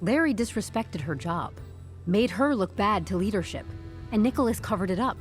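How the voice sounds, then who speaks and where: An actress playing a composed, stubborn HR newcomer in an audio drama, speaking with deadpan corporate politeness and wry candour. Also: female voice, medium pitch, moderate pace, faint music behind, close to an everyday voice. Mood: insistent. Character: composed, stubborn young HR newcomer turning interrogation into interview.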